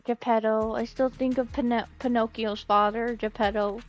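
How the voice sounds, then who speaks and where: A woman chatting casually on a game live stream, with a giggly, excitable manner. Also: a little high, normal speed, low-quality recording. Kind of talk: casual live-stream chat, reading out comments.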